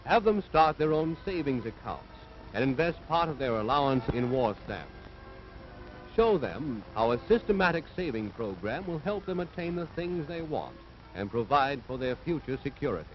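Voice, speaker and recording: A man's voice: mid-range voice, big pitch swings, moderate pace, soft background music. An American man delivering scripted 1940s propaganda film narration in an earnest, authoritative, moralizing manner. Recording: dull vintage 1940s film soundtrack.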